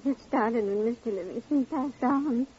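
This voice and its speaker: An actress playing a dainty, chatty, slightly dotty widow with affected manners in an old radio drama. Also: female voice, on the high side, moderate pace, warm tone, close to an everyday voice. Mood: dreamy, chatty. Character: genteel, dotty widow who enjoys attending strangers' funerals. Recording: muffled, noisy old radio recording.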